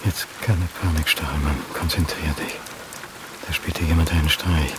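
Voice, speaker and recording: An actor playing an anxious male academic who talks to himself in halting, clipped phrases in a radio play. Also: rumbling low, steady tone. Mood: tense, agitated. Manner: halting, clipped self-talk. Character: anxious male history lecturer talking to himself. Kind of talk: radio play inner monologue. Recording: noisy outdoor scene with rain.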